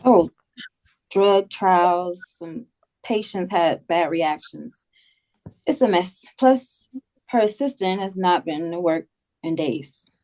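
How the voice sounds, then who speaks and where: A woman's voice: lowish voice, takes it slowly, long pauses, darkish tone, rough recording. A woman playing an easygoing, helpful friend who chats casually in a table read.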